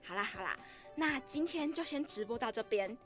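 A woman playing a vain eighteen-year-old beauty livestreamer in a radio drama, cutesy and drawn-out in manner. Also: on the high side, expressive, fairly bright tone, faint music behind. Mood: sugary, showy. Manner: cutesy, drawn-out, refers to herself by name.

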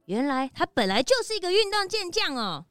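A woman playing an eager, excitable young detective's assistant in a children's audio drama, exclamatory and inquisitive. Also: very high-pitched, a little fast, loud music behind the voice. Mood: impressed.

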